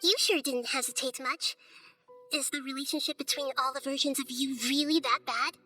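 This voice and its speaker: Casting: shrewd little goddess of wisdom, high bright voice, calm, articulate, firm game delivery. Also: female voice, normal speed. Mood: cool, probing. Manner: poised, articulate, quietly firm. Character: small, childlike female god of wisdom, calm and shrewd. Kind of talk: video game cutscene acting. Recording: studio voice over game music.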